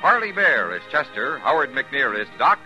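A man's voice: mid-range voice, big pitch swings, moderate pace, bright, forceful tone, poor audio. A man announcing credits for a 1950s radio drama in a scripted style. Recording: 1950s radio recording, music behind. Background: music.

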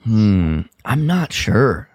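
A man co-hosting a podcast in casual review chat, halting as he thinks aloud and gives his opinions. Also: fairly low pitch, big pitch swings, a little mellow, no echo. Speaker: podcast co-host. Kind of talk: casual review chat about a radio drama.

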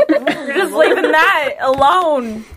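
A woman's voice: high-pitched, expressive, takes it slowly. A giggly, playfully dramatic woman in casual podcast banter with friends. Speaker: podcast co-host. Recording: loud background noise.

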